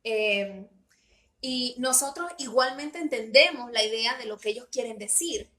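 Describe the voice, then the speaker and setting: A woman's voice: on the high side, a little slow, pushed and bright, echoey room. A woman from Peru co-hosting a casual podcast about learning English, talking with frequent hesitations and fillers. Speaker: podcast co-host.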